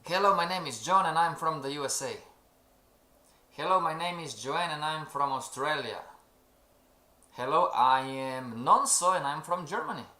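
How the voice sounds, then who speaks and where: A man's voice: fairly high pitch, expressive, moderate pace, sharp tone. Man teaching a live trading class. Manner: keeps saying "everybody" and "okay?", reads out the chat. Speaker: trading instructor. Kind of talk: live-streamed lesson. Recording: roomy echo.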